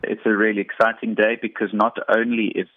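A man's voice: on the low side, level tone, a little fast, background noise. A man with a South African accent, explaining earnestly and conversationally in a radio interview. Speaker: interviewee, reading campaign spokesperson. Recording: narrow-band, tinny phone-like line.

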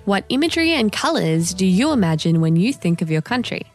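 A woman's voice: mid-range voice, big pitch swings, a little fast, soft background music. A woman reporting a news feature and asking interview questions. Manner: scripted reporting.

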